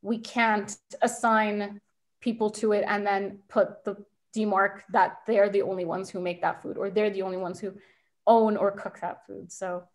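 A woman in her thirties talks on a video call from a home kitchen. She speaks quickly and reflectively, pausing often with fillers between her ideas. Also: mid-range voice, level tone. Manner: quick, thoughtful, with many fillers. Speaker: invited speaker.

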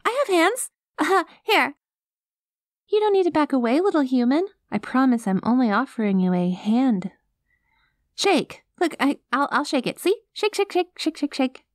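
A woman voicing a cute, gentle alien girl in an ASMR roleplay, naive and literal, with a hesitant, reassuring manner. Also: high-pitched, big pitch swings, moderate pace, no room sound, clean studio sound. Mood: anxious, apologetic.

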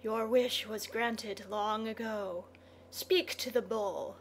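Storybook scorpion villain played by a woman: quiet, restrained, slow, coolly threatening. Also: fairly high pitch, steady tone, slight background noise. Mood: cold, menacing. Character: sinister, coolly menacing scorpion of the darkness.

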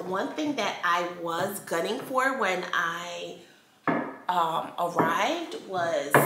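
An American woman in her thirties talks to camera in a casual, conversational vlog style. Her voice is bright and takes its time, with emphatic points along the way. It is recorded indoors with noticeable room echo. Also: medium pitch. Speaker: home decor vlogger. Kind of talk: casual haul chat to viewers. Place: bright indoor room at home, seated at table. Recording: echoey room.

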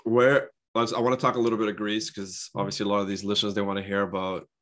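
A man's voice: lowish voice, little pitch movement, fast talker, a bit bright. A middle-aged man hosting a podcast, chatting with a guest over a video call in a friendly, affirming way. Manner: friendly, affirming, frequent backchannels. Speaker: podcast host. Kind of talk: casual interview chat. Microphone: video call, webcam.

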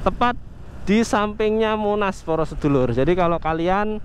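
A man with a fairly high voice chats his way through a motorcycle ride, talking loosely to his viewers with plenty of fillers. He is recorded outdoors on the bike, with faint traffic noise underneath. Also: normal speed. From Indonesia. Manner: chatty, loose, many fillers, keeps addressing viewers. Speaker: motovlogger. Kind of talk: casual running commentary while riding. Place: on a motorcycle on city streets outdoors.

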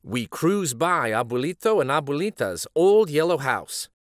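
A middle-aged man reads a picture book aloud as host, in an expressive, dramatic manner. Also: medium pitch, no echo, studio quality. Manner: animated, dramatic. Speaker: children's storybook reader and show host.

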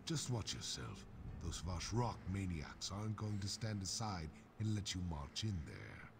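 A man voicing a gruff, blunt, battle-hardened orc warrior in a fantasy video game, with a gravelly voice. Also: deep voice, very animated, measured pace, noisy background, heavily acted. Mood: angry, urgent. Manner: blunt, swearing, wry. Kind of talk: fantasy game character acting.

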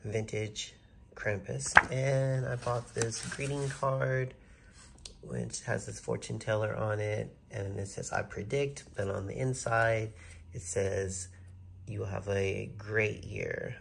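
Man, 30s, nasal from a cold, gravelly low voice, chatty vlogger, phone held at arm's length. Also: steady tone, slow pace, noisy background. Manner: chatty, enthusiastic, addresses viewers directly. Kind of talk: casual vlog talk to camera. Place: bedroom indoors, later outdoors on a sidewalk.

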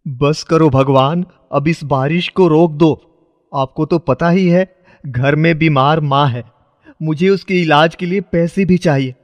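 A man's voice: on the high side, unhurried, long reverb tail, highs cut off, close to an everyday voice. A man voicing a poor, kind-hearted young shoe-shine boy, earnest and pleading, in a children's moral story. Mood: desperate pleading.

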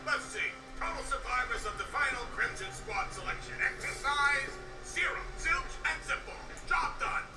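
A dim-witted, flippant soldier henchman in a video game. His breezy voice is very high and comes from far off, echoing over music. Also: animated, normal speed. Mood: breezy, careless. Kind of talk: scripted video game voice acting. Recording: echoey distant game playback with music.

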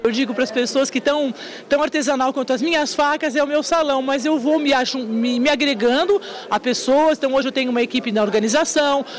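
A woman's voice: high voice, quick pace. A woman with a Brazilian accent giving a spontaneous interview answer as an event organizer.

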